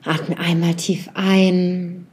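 A woman leading a guided reflection meditation, speaking softly and unhurriedly as she puts a series of gentle questions directly to the listener. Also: fairly low pitch, level tone, drawn-out words, a little hiss or hum.

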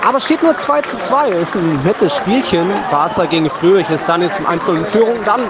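Man, high voice, informal on-site football commentary, handheld recorder, very loud stadium noise. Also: expressive, a little fast. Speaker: podcast host reporting from a stadium. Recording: muffled, very noisy stadium crowd recording. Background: loud football fans and crowd.

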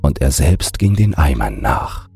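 A man reads a bedtime fairy tale in an extremely low, gravelly voice. His pace is slow and soothing for sleep, with soft music behind him. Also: sing-song. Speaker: audiobook narrator.

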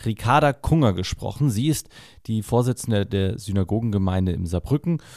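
A man's voice: on the low side, animated, moderate pace, a little mellow, no room sound, studio quality. A man hosting a podcast, reading a scripted introduction in an announcing manner. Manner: read-aloud, announcing. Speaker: podcast host.

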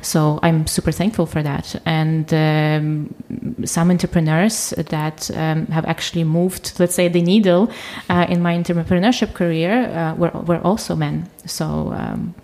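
Interview guest: young woman, Ukrainian-born, lowish voice, relaxed, full of fillers. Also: measured pace. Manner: relaxed, lots of fillers and restarts. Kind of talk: casual interview conversation.

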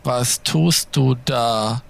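A man acts out a controlling male vampire in an audio drama. His mid-range voice is stern and deliberate. Each phrase comes out curt, like a command. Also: medium pitch, creaky, full character voice. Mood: stern, displeased.

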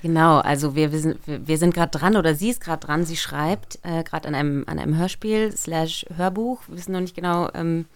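Low-voiced woman chatting casually. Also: a little fast, hardly any pauses, clean tone. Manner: relaxed, thinks aloud with many fillers. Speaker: voice actor guest. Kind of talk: casual interview chat.

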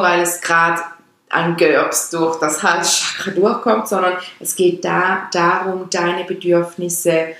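A woman's voice: fairly low pitch, vocal fry. A woman from Switzerland whose first language is Swiss German, speaking Standard German with a Swiss accent. She explains chakras in casual talk and often wanders off the topic. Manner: rambling, with restarts and digressions. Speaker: chakra teacher and podcast host. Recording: very echoey room.

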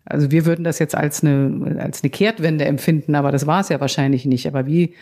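A woman editor interviewing a colleague, asking measured questions with small restarts. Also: deep voice, fairly even intonation, mellow. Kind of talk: podcast interview.

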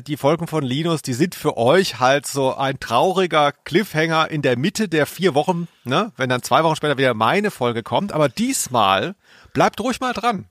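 A man's voice: a little high, a bit bright, no room sound. A man bantering casually as a podcast co-host in a dry, teasing way.

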